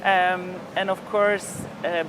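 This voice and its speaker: An older man from Poland, a diplomat speaking in a television interview in a reflective, measured way with frequent hesitations. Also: sits high, level tone, noisy background. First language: Polish. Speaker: ambassador.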